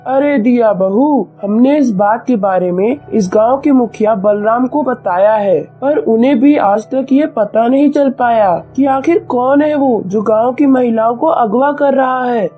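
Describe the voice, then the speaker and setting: Woman acting a mellow worried villager. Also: fairly high pitch, measured pace, few pauses, faint music behind, natural voice. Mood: worried, explaining. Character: worried villager addressing a daughter-in-law. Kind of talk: Hindi cartoon story acting.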